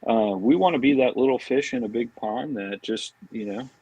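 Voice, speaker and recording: A man's voice: medium pitch, normal speed, dark tone, slightly rough, no echo, highs cut off. An American man talking casually as an interview guest, rambling informally and often dropping in "you know" and "man". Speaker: podcast guest, fishing products marketing director.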